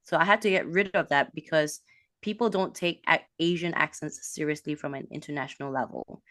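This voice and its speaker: A woman from a Malaysian background, a business coach, talking in an animated way with quick bursts and restarts. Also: low voice, no room sound, studio quality. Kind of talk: casual interview talk.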